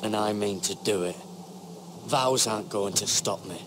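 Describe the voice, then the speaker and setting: A man's voice: on the low side, normal speed, lightly acted. A man playing an earnest, determined young ranger in a video game drama, serious and resolute. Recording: rainstorm noise behind.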